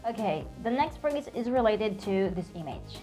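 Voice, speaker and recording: A woman from Cuba, a native Spanish speaker with a Cuban accent, hosting an upbeat slang lesson and repeating phrases slowly and clearly. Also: medium pitch, lively intonation, dark tone, soft background music, low-quality recording. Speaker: language teacher, channel host.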